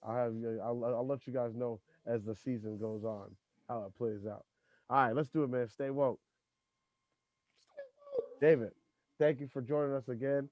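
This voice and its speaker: A man's voice: mid-range voice, steady tone, normal speed, long pauses, dry recording, lo-fi sound. A man hosting a podcast in loose, profane casual chat, talking in restarts. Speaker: podcast host.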